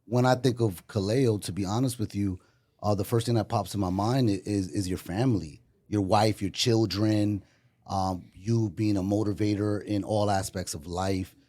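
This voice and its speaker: Man, lowish voice, podcast host, rambling intro, lots of fillers. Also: steady tone, dark tone. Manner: loose, rambling, fills pauses with "you know" and "um". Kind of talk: casual chat.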